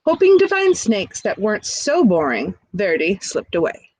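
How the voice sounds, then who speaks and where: A woman reading a picture book aloud expressively, pausing to chat to young listeners with questions and asides. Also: mid-range voice, wildly swooping pitch, darkish tone, breathy edge, no echo, poor audio. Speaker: storybook reader.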